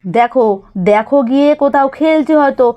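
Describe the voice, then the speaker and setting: A woman as a high-voiced, fussing village grandmother. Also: brisk, mellow, lo-fi sound, close to an everyday voice. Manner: fretful, repeats commands. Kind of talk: children's doll-story cartoon voice acting.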